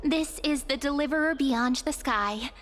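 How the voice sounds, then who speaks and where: An actress playing a friendly, curious young adventurer companion in a video game, gentle and thoughtful in her delivery. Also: sits high, unhurried, a little hiss or hum. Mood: polite, curious. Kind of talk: video game character acting.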